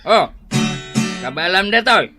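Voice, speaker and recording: An actor playing a drunken old father in an Ilocano radio drama, slurred and halting, with music behind. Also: medium pitch, expressive. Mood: drunk, rowdy. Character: drunken old father singing with guitar and microphone.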